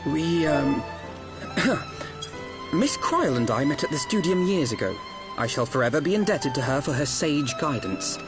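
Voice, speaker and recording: A man's voice: on the high side, sing-song, normal speed, over music. A man playing an earnest, easily flustered young prodigy in a video game, speaking in stiff, formal, wordy phrases. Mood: flustered, polite.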